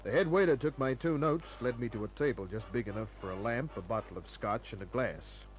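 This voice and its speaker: An actor playing a cool, wary private detective in an old-time radio crime drama, speaking in a terse, wry, clipped way. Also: male voice, medium pitch, a little fast, lightly acted. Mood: wry, narrating. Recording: old radio recording with faint music.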